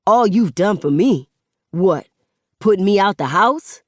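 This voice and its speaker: A woman voicing a hurt young man who shouts and swears at his mother. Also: medium pitch, lively intonation, moderate pace, dry recording, clean studio sound, natural voice. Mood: angry.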